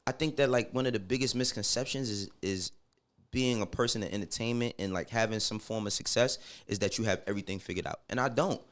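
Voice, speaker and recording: A man's voice: lowish voice, fast talker, a bit bright. Candid New York man talking casually. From Harlem, New York, United States. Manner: candid, slangy, emphatic with repeated check-ins. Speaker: podcast guest. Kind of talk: casual interview conversation.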